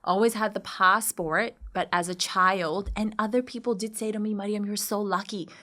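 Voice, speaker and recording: A woman's voice: mid-range voice, fairly even intonation, normal speed, pushed and bright. A woman from Pakistan speaking as a podcast guest, telling her personal story in a reflective, emphatic and articulate way. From Karachi, Pakistan. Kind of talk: candid interview, personal storytelling.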